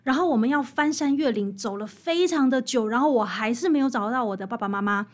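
A woman's voice: fairly high pitch, brisk. A woman with a Taiwanese Mandarin accent explaining things conversationally as an interview guest, animatedly walking through examples. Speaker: interview guest, author and music researcher.

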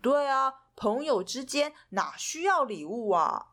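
A woman voicing a calm, practical storybook friend who comforts in a matter-of-fact way. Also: high-pitched, measured pace, heavily acted.

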